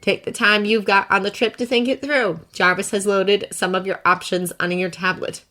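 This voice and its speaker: A woman reading a story voices a sharp, exasperated female assistant who scolds. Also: medium pitch, steady tone, moderate pace, natural voice.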